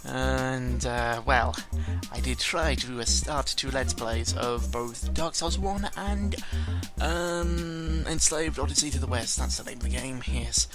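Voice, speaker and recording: A man with a medium-pitched voice rambles casually into a close mic, with music clearly playing behind him. He is self-deprecating and sarcastic, swears freely, and often trips over his words as he goes. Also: takes it slowly. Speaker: YouTuber recording an audio log. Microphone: close microphone with pop filter. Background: background music.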